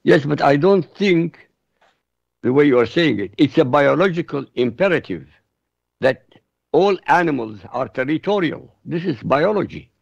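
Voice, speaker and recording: Iraqi-born man, Arabic first language, blunt radio guest, very gravelly voice, dull dry sound. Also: a little high, expressive, moderate pace, no echo. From Iraq. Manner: blunt and emphatic. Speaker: guest commentator on foreign affairs. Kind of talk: opinionated radio talk.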